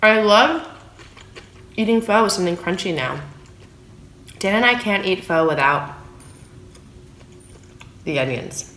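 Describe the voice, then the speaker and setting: A woman's voice: medium pitch, a little slow, pauses often. Chatty woman eating at home, echoey room. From San Francisco, USA. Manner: relaxed, chatty, talking between bites of food. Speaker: food vlogger. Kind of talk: casual eating vlog. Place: small room at home, indoors at a dining table. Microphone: phone camera on the table.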